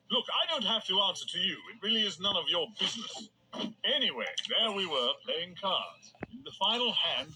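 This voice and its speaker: A man's voice: sits high, noisy background. A man voicing a prim, defensive soul-collecting spirit in a video game, wordy and exasperated. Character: fussy, defensive supernatural collector of souls. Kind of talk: scripted game character monologue. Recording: music behind the voice. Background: music.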